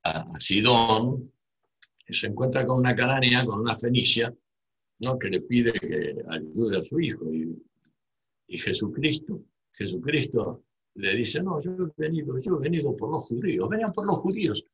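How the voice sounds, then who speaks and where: A man's voice: medium pitch, lively intonation, normal speed, a bit bright, slightly rough, low-quality recording. A man from Buenos Aires with a Rioplatense accent, giving a digressive, reflective monologue full of tag questions and restarts. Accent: Rioplatense Spanish. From Buenos Aires, Argentina.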